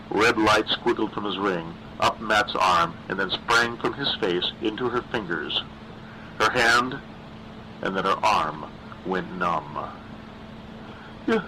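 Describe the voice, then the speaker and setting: A man's voice: fairly low pitch, slow pace, pushed and bright, a little hiss or hum, poor audio. A man reading a scripted horror story aloud as an audiobook narrator, with dramatic emphasis.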